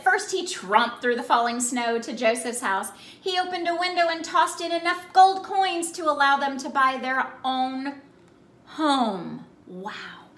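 A woman in her thirties reading a storybook aloud to children in an animated, expressive way with emphatic phrasing. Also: high voice. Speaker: children's ministry read-aloud host. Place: small indoor room by a Christmas tree. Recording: roomy indoor echo.

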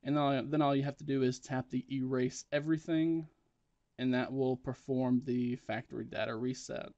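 A man's voice: mid-range voice, steady tone, moderate pace, darkish tone, no echo, highs cut off. A man presenting a step-by-step tech tutorial in a plain, matter-of-fact instructional way.

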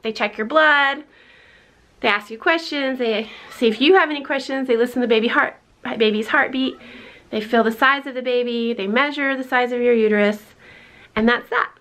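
A woman's voice: fairly high pitch, normal speed, a bit bright. A woman in her thirties, a pregnant mother chatting casually to a handheld camera at home, laughing easily. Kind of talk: casual family vlog chat. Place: home bedroom and kitchen. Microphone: handheld camera at arm's length.

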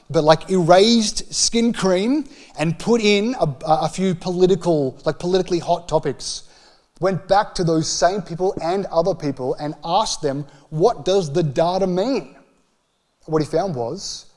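High-pitched Australian preacher, a man. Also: darkish tone. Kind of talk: sermon, conversational public teaching. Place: church hall with stage. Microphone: handheld microphone.

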